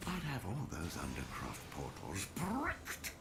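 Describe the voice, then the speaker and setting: Brief: brooding, scornful game figure, very deep and gravelly, drawn-out pitch sweeps, slow, loud noise behind. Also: male voice, huge pitch swings, heavily acted. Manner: slow, brooding, half-whispered. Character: grim, scornful man grumbling orders. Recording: game audio under loud ambient noise.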